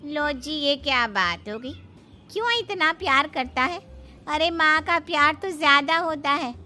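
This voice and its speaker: A woman's voice: high voice, lively intonation, unhurried, pushed and bright, soft background music, voice pushed up, heavily acted. A woman voicing a gossipy, meddling older neighbour in a cartoon family drama, scolding and insinuating. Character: gossipy meddling older female neighbour friend, stirring trouble.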